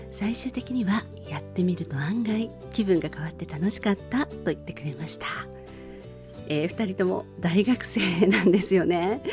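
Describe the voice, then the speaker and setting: A middle-aged woman hosts a radio program in a soft, mellow voice. She talks alone in polite, gentle phrasing, sharing small stories. Faint music plays behind her. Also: medium pitch. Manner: polite, formal phrasing with personal anecdotes. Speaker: radio show host. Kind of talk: gentle monologue radio talk.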